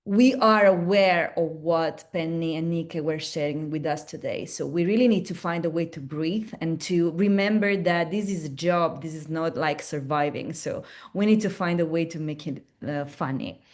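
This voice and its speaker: Webinar presenter: a woman with an Italian accent and a lowish voice, chatty and loose. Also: normal speed, hardly any pauses. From Italy. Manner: chatty, restarts often, tag questions like "no?". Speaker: platform representative presenting at a webinar.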